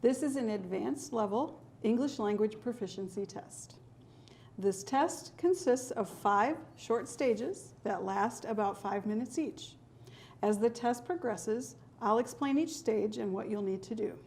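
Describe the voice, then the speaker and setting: A middle-aged woman examiner calmly explaining the stages of a spoken English test in a clear, measured way. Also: a little high, a little hiss or hum. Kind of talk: scripted test instructions.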